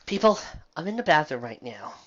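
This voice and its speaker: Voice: mid-range voice, sing-song, a little fast, fairly bright tone, muffled recording. A theatrical, exasperated host giving a comic dramatic reading of fan fiction, with asides.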